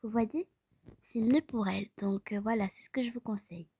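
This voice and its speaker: A woman's voice: on the high side, a little fast, breathy edge, voice pushed down. A woman voicing a polite, reassuring, slightly fussy female pediatrician in an improvised role-play, hesitant and courteous. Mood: concerned. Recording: loud music behind the voice.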